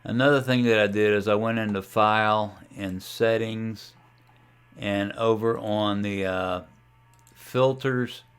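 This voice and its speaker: A man giving a casual, folksy tutorial as a ham radio hobbyist, talking through a software demo in an unhurried way. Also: fairly low pitch, smooth. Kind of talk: casual screen-share tutorial.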